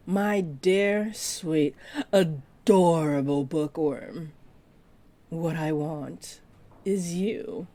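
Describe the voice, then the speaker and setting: A woman playing a flirty, possessive part-dragon librarian in an intimate roleplay audio drama, speaking to the listener in a teasing, deliberate way. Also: deep voice, warm tone. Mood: tender, flirty. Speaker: voice actor.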